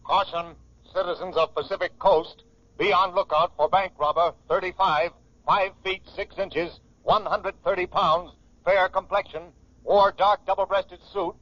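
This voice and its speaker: A man's voice: high-pitched, fairly even intonation, normal speed, fairly bright tone, no echo. A man reading a wanted bulletin in clipped phrases, in 1940s radio style. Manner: clipped, list-like delivery. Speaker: radio announcer. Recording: dry, muffled old radio broadcast.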